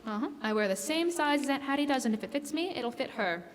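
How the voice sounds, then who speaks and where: A woman's voice: sits high, lively intonation, fast talker. A woman playing a chatty, easily flustered motherly shopper in a classic-style radio play, with theatrical delivery. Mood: chatty, pleasant. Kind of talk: live stage radio drama in classic style. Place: stage in a hall, live performance. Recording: echoey stage hall recording.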